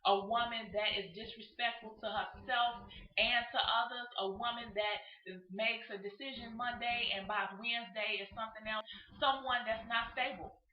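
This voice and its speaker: A woman giving a motivational relationship advice talk in an emphatic, preachy manner, repeating her points in parallel phrases. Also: medium pitch. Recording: muffled echoey room with background noise.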